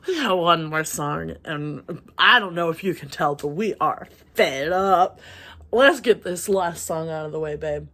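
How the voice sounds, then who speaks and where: A woman's voice: low voice, a little slow, fairly bright tone. A woman from rural Texas co-hosting a casual album review, blunt and profane, laughing often. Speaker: music review co-host.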